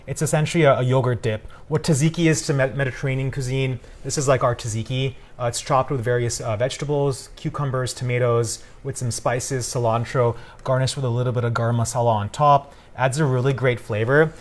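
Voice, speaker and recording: A man's voice: mid-range voice, fairly even intonation, brisk, few pauses. An upbeat, chatty man explaining food casually as a guest cooking demonstrator. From Punjabi Indian family.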